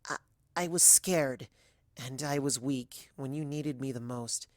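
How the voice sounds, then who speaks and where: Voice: mid-range voice, a little slow, no room sound, studio quality, natural voice. A reader voicing a timid, earnest young female pony who speaks in a hesitant, pleading way, in a dramatic fan fiction reading. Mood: apologetic.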